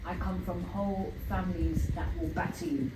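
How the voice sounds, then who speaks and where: A woman leading a workshop performs spoken word poetry in a slow, measured delivery. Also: on the low side, fairly even intonation, noisy background. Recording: echoey room, distant mic, music behind.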